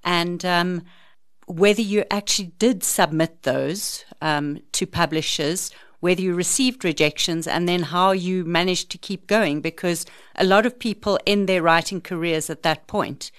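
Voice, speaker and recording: A woman's voice: lowish voice, unhurried, dry recording, clean studio sound. A woman, interviewing a guest conversationally as a podcast host.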